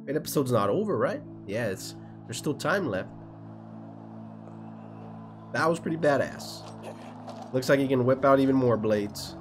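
A man's voice: medium pitch, lively intonation, unhurried, pauses often, music in the background. A young man reacting live to an anime episode in his bedroom, exclaiming, swearing and laughing as he watches. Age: young adult. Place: bedroom with posters, indoors.

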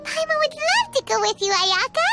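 A little floating companion sprite, chirpy and childlike, with a squeaky, very high voice that leaps around as she talks. Loud game music plays underneath. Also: very animated, moderate pace, heavily acted. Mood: excited. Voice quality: squeaky, bubbly, third-person self-talk. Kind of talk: video game voice acting.

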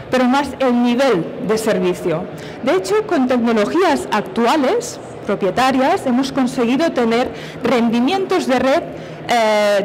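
A woman's voice: a little high, darkish tone, slight background noise, poor audio. A middle-aged woman presenting technical material at a conference, explaining step by step and posing questions to answer. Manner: explanatory, with self-posed questions. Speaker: conference speaker from industry. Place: on stage at a conference, filmed from a distance.

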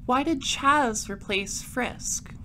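A woman playing a cool space patrol detective in an audio drama, questioning in a clipped, deadpan way. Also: fairly high pitch, slow, a little hiss or hum. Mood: businesslike. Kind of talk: audio drama dialogue and narration.

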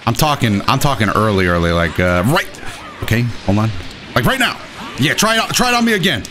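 A young adult man giving excitable, self-deprecating live commentary over his own gameplay as the host. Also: mid-range voice, brisk. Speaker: gaming video host.

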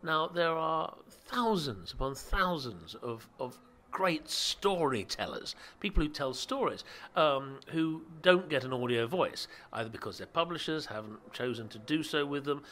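Man, interviewee, bright tense tone, stumbles and restarts, faint ambience. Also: a little high, very animated, unhurried, hardly any pauses, slight background noise. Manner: hesitant, many fillers and restarts. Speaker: interviewee, audio drama producer. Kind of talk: casual interview answers.

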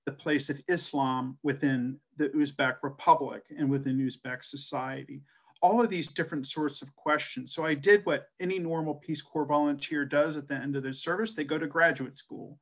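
A man's voice: a little high, steady tone, normal speed. A middle-aged man from the American West speaks into a webcam on a video call. He talks in an earnest, storytelling way, with many uh fillers and restarts. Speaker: translator and scholar giving a talk. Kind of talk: personal presentation on a video call.

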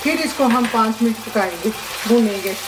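A woman hosting a home cooking video, giving plain, unhurried step-by-step recipe instructions with short pauses between ingredients. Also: a little high, animated, noisy background. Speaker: cooking show host. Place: home kitchen. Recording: echoey room with background music.

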